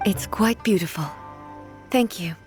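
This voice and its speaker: An actress playing an ancient goddess ruler in a video game, speaking in a formal, measured, reflective way. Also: female voice, medium pitch, over music, lightly acted. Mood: gently courteous.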